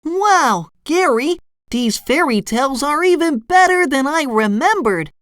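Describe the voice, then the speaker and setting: A woman voicing an excitable, naive young male sea sponge from a cartoon, eager and wide-eyed, in a children's storybook. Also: high-pitched, unhurried, dry recording, clean studio sound, voice pushed up. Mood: delighted. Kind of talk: children's storybook character reading.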